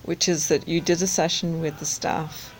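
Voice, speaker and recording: An interviewer asks follow-up questions in a curious, attentive way. The voice sits at an ordinary middle pitch. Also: flat delivery, normal speed, a little hiss or hum, rough recording.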